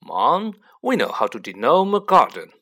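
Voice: medium pitch, big pitch swings, measured pace, fairly bright tone. A narrator voicing cheeky teenage wizard brothers in an audiobook, grumbling and teasing. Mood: protesting. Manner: complaining, teasing exclamations.

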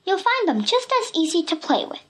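A woman's voice: very high voice, sing-song, fairly quick, fairly bright tone, a little hiss or hum, voice pushed up, full character voice. A woman voicing a plucky, cheeky little girl in a storybook, with a mock-innocent, politely breezy manner. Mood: teasing. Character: plucky, cheeky little red-headed girl, unafraid of bullies.

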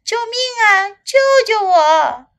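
A woman's voice: very high-pitched, very animated, slow pace, a bit bright, pitched above the performer's own voice, exaggerated voice. A woman voicing a polite young male elephant in a storybook, eager and easily distressed, with a pleading, exclaiming delivery. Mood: panicked.

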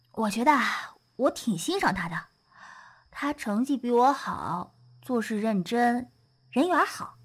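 A woman acting an affectionate, eager schoolgirl in a modern audio drama, with an expressive, earnest, girlish delivery. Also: a little high, takes it slowly, breathy edge, full character voice. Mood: thoughtful, admiring. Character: affectionate, eager schoolgirl, devoted to her best friend.

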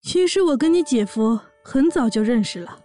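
A woman's voice: on the high side, expressive, normal speed, warm tone, soft background music, lightly acted. A woman playing a brash, boastful pregnant orc warrior, a sassy and bossy older sister, in a fan audio drama. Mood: nostalgic, boastful. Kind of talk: audio drama acting and narration.

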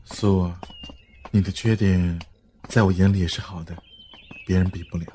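A man playing a calm, indulgent, gently teasing rescuer in a Chinese audio drama, in an understated manner. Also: sits low, moderate pace, a little hiss or hum, close to an everyday voice.

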